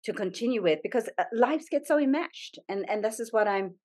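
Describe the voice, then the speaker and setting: A woman's voice: a little high, animated, a little fast, a little mellow. A middle-aged woman from Zambia, a yoga studio owner and podcast guest, speaking earnestly and thoughtfully with frequent restarts. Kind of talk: reflective conversational interview.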